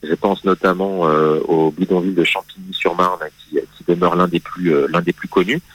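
A man guest on a radio interview, explaining history in a measured way with frequent hesitations and restarts. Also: low voice, fairly even intonation, a bit bright, low-quality recording. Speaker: magazine guest speaking on history.

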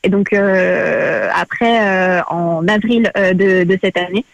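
A woman's voice: fairly low pitch, takes it slowly, vocal fry, no echo. A woman in her twenties, Portuguese-born with Portuguese as her first language and raised in France, speaking as a guest in a radio interview, hesitant with many fillers and restarts. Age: 20s. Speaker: association president, guest.

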